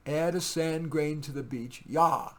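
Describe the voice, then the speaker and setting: A man's voice: a little high, unhurried, fairly bright tone. A man reading his own poetry, theatrical and emphatic in the verse, with casual asides in between. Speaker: poet reading own work.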